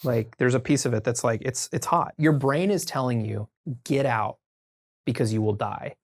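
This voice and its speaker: An American man chatting casually as a podcast guest, telling stories informally and haltingly as he thinks aloud. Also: mid-range voice. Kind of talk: casual conversational storytelling.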